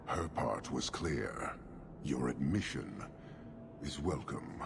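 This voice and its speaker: An actor playing a stern, imperious male warlord who speaks in a cold, measured way with a very deep, gravelly voice. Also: expressive, heavily acted. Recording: game audio with noisy ambience.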